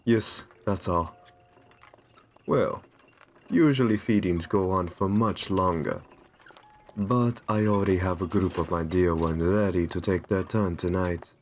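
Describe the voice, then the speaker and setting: A man playing a kind, doting aristocratic vampire in an ASMR roleplay, speaking in gentle, reassuring, genteel endearments. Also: low voice, a little slow, a little hiss or hum. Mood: tender, reassuring. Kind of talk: ASMR roleplay, scripted intimate monologue.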